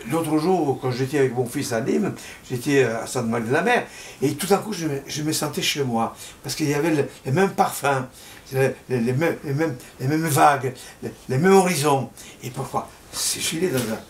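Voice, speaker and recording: An older man from Italy, a painter speaking French with an Italian accent, who reflects on his life and his paintings in a halting, heartfelt way, stumbling over repeated words. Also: mid-range voice, a little hiss or hum. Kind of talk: reflective personal monologue. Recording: roomy echo.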